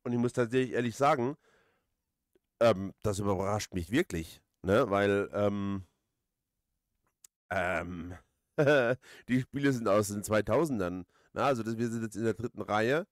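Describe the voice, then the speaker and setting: A man's voice: on the low side, moderate pace, no echo, clean studio sound. A man giving casual let's play commentary, rambling and hesitant as he thinks aloud. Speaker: let's player, host.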